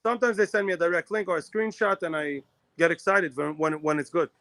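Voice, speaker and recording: A man from Israel co-hosting a live talk show, talking fast in a rambling way with many restarts. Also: sits high, fairly even intonation, fairly bright tone. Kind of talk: casual live chat.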